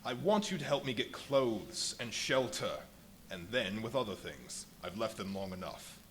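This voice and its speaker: A man's voice: lowish voice, sing-song, moderate pace, fairly bright tone. An actor playing a desperate, menacing invisible man in a live radio play, speaking in a commanding, theatrical way. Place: live performance at a brewery venue. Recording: noisy live stage recording with ambience.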